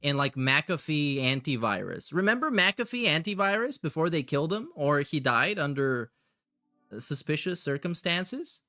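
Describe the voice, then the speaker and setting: Sarcastic guy streamer, higher voice, dry mic. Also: very animated, moderate pace, bright, forceful tone, dry recording. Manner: sarcastic, mocking asides. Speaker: livestream host reacting to another streamer. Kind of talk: casual livestream reaction commentary. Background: music and another streamer's video.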